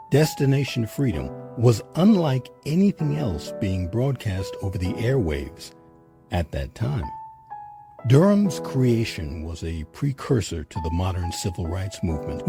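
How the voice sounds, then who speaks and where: Over clear background music, a man narrates a scripted documentary. His fairly low voice moves expressively up and down, measured and emphatic.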